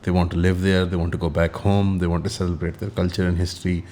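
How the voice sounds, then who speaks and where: Low-voiced man hosting a casual interview. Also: flat delivery, quick pace, dark tone. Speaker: podcast interview host. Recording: faint background noise.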